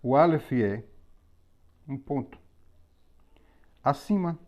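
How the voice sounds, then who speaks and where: A man teaching a religious lesson on Hebrew letters, explaining methodically with pauses and repetition. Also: mid-range voice, lively intonation, darkish tone. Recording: slightly dull lo-fi recording.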